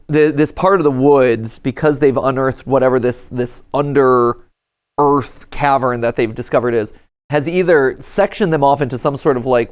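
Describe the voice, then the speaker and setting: A man's voice: mid-range voice, a little mellow, clean tone. A man hosting a casual reaction show, commenting in a playful, joking manner. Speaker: host.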